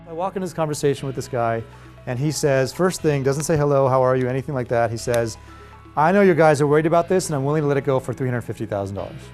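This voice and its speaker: Man, fast storytelling, quoted dialogue, mid-range voice, music behind. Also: medium pitch, a little mellow.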